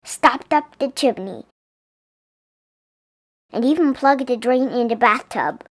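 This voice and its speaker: A woman's voice: a little high, animated, takes it slowly. A woman reading a picture book aloud expressively for young children. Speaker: storybook reader.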